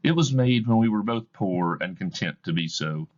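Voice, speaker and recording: A man's voice: fairly low pitch, expressive, moderate pace, dull audio, pitched above the performer's own voice, lightly acted. A man voicing a grieving young woman who speaks to her former love with bitterness and reproach. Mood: reproachful.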